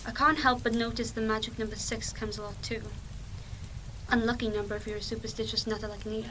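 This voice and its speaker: A woman's voice: a little high, little pitch movement, brisk, fairly bright tone, recorded from a distance, a little hiss or hum, lightly acted, low-quality recording. A woman playing a dry, evidence-minded investigator in a fan audio drama, clipped and matter-of-fact. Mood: resolute.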